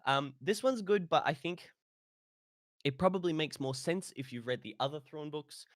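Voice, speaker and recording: A man's voice: medium pitch, dry recording, clean studio sound. A man co-hosting a podcast in a casual, rambling, opinionated chat. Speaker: podcast co-host.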